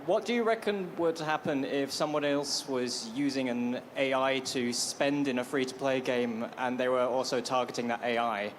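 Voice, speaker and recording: A mid-pitched man from the audience asks a question, hesitant and halting. There is faint noise behind him. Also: steady tone. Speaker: audience member asking a question.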